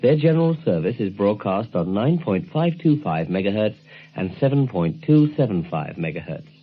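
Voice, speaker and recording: A man's voice: lowish voice, sing-song, normal speed. A man presenting a radio documentary in scripted narration, heard through a thin recording with music behind. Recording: thin phone-like sound, loud music behind.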